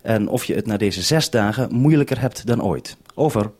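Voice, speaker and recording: A man's voice: fairly low pitch, expressive, moderate pace, a little mellow, husky. A man with a Netherlandic Dutch accent presenting and interviewing over a live radio link in a vintage 1970s broadcast.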